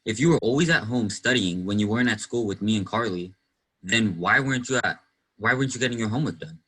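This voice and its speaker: Young man acting husky, earnest teenage boy sleuth. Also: on the low side, expressive, quick pace, a bit bright, low-quality recording. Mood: probing, serious. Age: young adult. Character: earnest, probing teenage boy, amateur detective. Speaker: student actor in a school play. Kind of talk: one-act play acting.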